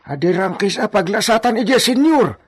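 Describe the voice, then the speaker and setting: Guy doing nervous respectful servant in a radio play, really high voice. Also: big pitch swings, moderate pace, husky, full character voice. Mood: anxious warning. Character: cautious, deferential male servant and guide. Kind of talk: Ilocano radio drama acting.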